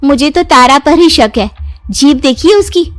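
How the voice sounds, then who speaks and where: A woman acts out a prying, suspicious cartoon village wife. She has a very high voice and a dramatic delivery. Music is heard underneath. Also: animated, normal speed, no echo. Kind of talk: Hindi cartoon story acting.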